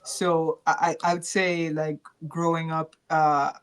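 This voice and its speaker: A woman's voice: deep voice, little pitch movement, slow pace, smooth. A woman from New York and Jamaica speaking as an interview guest, earnest and reflective, often repeating phrases as she thinks aloud. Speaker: interview guest, performing artist.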